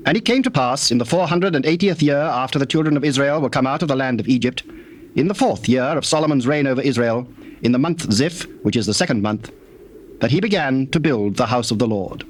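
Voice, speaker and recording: A man narrating scripture from a script in a formal, measured manner. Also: medium pitch, slight background noise.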